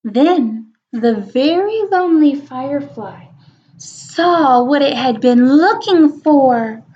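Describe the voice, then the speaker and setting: Female storyteller for young kids, high voice, expressive and wondering, chatty asides, slightly muffled lo-fi sound. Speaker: read-aloud storyteller. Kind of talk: children's picture book read-aloud with chatty asides. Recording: dull lo-fi recording.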